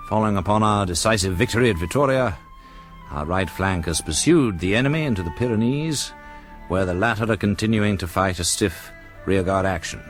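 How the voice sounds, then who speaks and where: A man's voice: lowish voice, lightly acted. A man playing a wry, commanding aristocratic British general in a historical radio drama, clipped and formal. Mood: formal, proud.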